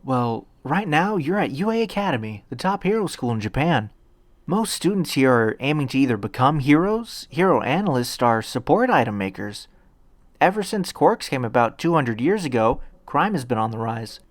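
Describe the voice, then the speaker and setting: A man's voice: mid-range voice, big pitch swings, moderate pace, no echo, pitched above the performer's own voice, close to an everyday voice. A man voicing an earnest, polite, stammering teenage boy hero in training in a narrated story. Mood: eager, explaining. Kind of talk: acted audiobook dialogue.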